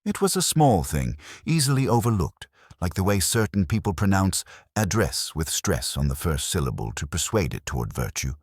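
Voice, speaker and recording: Male solo narrator for detective drama: bass-deep, husky, dryly witty and formal, expressive intonation. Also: no room sound. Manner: measured, dry, wry, formal delivery. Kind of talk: scripted literary narration of a mystery drama.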